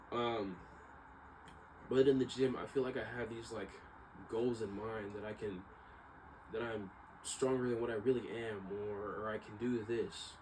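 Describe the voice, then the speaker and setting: A man's voice: medium pitch, a little mellow. A young man talking casually in a rambling, slangy monologue, often repeating himself and checking in with "right?". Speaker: vlogger. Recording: distant mic, clearly noisy background.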